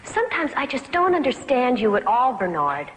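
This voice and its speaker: A woman's voice: a little high, sing-song, normal speed. A woman playing an uneasy, uncomprehending, conventional young woman in an acted dramatic scene. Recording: long hollow reverb, faint noise.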